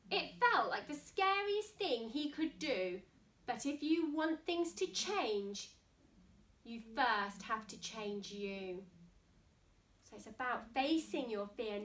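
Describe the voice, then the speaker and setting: A woman with a high, bright voice reads a picture book to small children in an echoey room. Her delivery is theatrical and unhurried, with chatty asides to the listeners. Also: recorded from a distance, slight background noise. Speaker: storybook reader. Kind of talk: expressive picture-book reading for young children.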